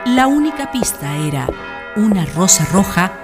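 A woman narrating a historical radio drama in a measured, literary, solemn manner. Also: mid-range voice, very animated. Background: background music.

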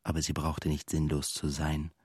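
A male actor playing a disillusioned, lonely composer, narrating his thoughts in a measured, literary, brooding way for a radio play. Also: very deep voice, monotone, a little mellow, slightly rough, no room sound, studio quality. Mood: despairing, resigned.

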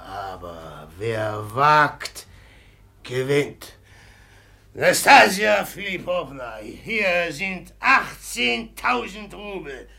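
Wild drunk merchant, slow emphatic delivery, creaky and piercing, 1950s radio play. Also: male voice, on the high side, full character voice. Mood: drunken boasting. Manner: shouting, laughing, impulsive, drawn-out emphatic phrases. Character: drunken, wild, boastful rich merchant in love. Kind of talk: 1950s German radio drama acting.

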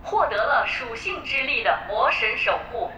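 A woman's voice: fairly high pitch, lively intonation, moderate pace, dull audio, a crowd in the background, lightly acted. An actress voicing a female game system announcer, making formal announcements in an audio drama. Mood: formal announcing. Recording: echoey, distant, loud background music.

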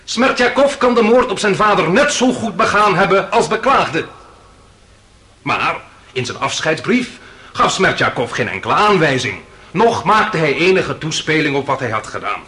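A passionate defence lawyer in a radio courtroom drama. His fairly high, bright voice rises and falls dramatically as he pleads. The delivery is earnest, emphatic theatrical oratory. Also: big pitch swings, moderate pace. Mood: persuasive, emphatic. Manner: rhetorical, emphatic, persuasive.

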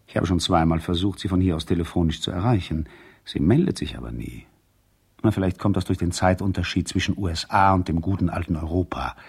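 A man's voice: low-pitched, creaky, dark tone, husky, exaggerated voice. An actor playing a breezy, unworried businessman husband, reading out chummy, emphatic messages to an old friend in a radio play.

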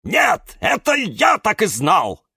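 A man voicing a grumpy, hot-tempered and suspicious cactus in a children's story, barking loudly and indignantly. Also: sits high, wildly swooping pitch, takes it slowly, husky, dry recording, clean studio sound, pitched above the performer's own voice, heavily acted. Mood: outraged shouting.

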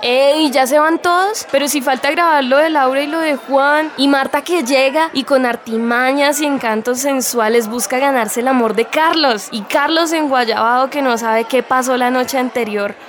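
A woman's voice: high voice, normal speed, hardly any pauses, close to an everyday voice. A woman playing an exasperated radio soap director, scolding and insistent, with a Colombian accent. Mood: pleading. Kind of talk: comic radio soap dialogue. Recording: faint ambient background noise.

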